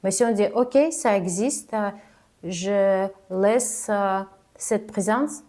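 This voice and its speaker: A woman coach giving spoken advice on confident speaking, halting and careful as she talks in a language that is not her first. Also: mid-range voice, dark tone. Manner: careful, halting delivery of a non-native speaker. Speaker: communication coach.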